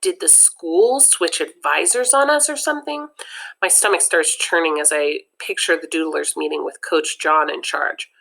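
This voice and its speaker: A woman reading a children's book aloud as a teacher, expressive and lively, stopping to explain words to young listeners. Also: low voice, normal speed, vocal fry, rough recording.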